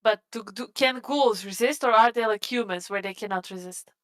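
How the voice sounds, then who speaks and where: Woman gamer, smooth clear voice kinda high, asking about rules, casual. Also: a little fast. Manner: questioning, checking the rules. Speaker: player in tabletop game. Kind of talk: casual game talk.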